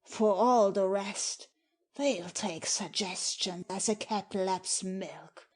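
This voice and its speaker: Woman as a very slow, scheming nobleman. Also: mid-range voice, a little mellow. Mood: cold scheming. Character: cold, ambitious, scheming nobleman plotting murder. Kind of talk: dramatic reading of Shakespeare.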